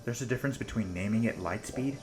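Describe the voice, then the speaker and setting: An actor playing a nervous, joking older-brother ship pilot. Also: male voice, lowish voice, over music, natural voice. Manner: nervous, joking, fast-talking bluffs. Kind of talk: fan audio drama acting.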